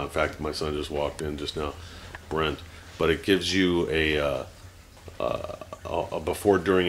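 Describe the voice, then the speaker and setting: A man's voice: rumbling low, a bit bright, slight background noise. A man in his forties talking unscripted to a camera at home, rambling with many fillers and restarts and turning heated. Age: 40s. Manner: grows heated and ranting. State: teary-eyed. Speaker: vlogger.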